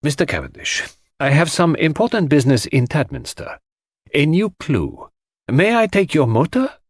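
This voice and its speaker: A man voicing a fussy, excitable, vain foreign detective who speaks emphatically in an audiobook mystery. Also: a little high, normal speed, breathy edge, no echo, studio quality, voice pushed up, heavily acted. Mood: decisive. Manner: emphatic, repeats words, French phrases sprinkled in.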